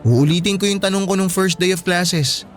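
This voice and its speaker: High-voiced Filipino schoolboy boyfriend played by a man. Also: normal speed, soft background music, close to an everyday voice. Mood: frank, disapproving. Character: teasing, blunt, sweet teenage schoolboy boyfriend. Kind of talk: Filipino radio drama dialogue.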